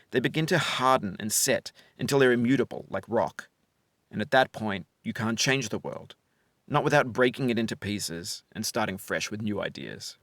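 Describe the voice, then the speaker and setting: A man reads a reflective, essay-like narration in a measured and articulate way. His pitch is mid-range and moves with lively variation. The sound is very dry, with no room to it. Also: gravelly, dry recording. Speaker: game developer narrating his own game.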